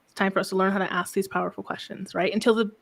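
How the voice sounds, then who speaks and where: A soft-spoken woman business coach talking calmly in a casual interview, often ending thoughts with a questioning "right?". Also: medium pitch, racing, gravelly. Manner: calm, reflective, frequent "right?" tags.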